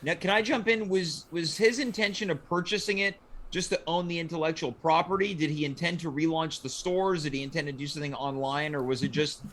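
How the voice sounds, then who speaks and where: A man hosting a talk show, with a deliberate, dramatic delivery and measured pauses. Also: on the high side, fairly bright tone. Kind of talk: deliberate, theatrical show intro and banter.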